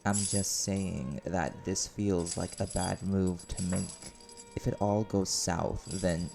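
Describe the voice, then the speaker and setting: A man playing a cautious, measured henchman of a dark sorcerer in an audio drama. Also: low-pitched, steady tone, vocal fry, over music, heavily acted. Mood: wary, deferential. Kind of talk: audio roleplay drama acting.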